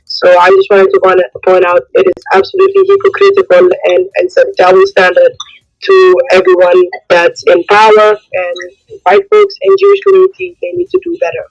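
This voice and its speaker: Creaky-voiced woman from Somalia, lively pitch. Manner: halting, hesitant phrasing. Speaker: caller in a live audio discussion. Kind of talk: impromptu spoken opinion. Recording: dull lo-fi radio-like call audio.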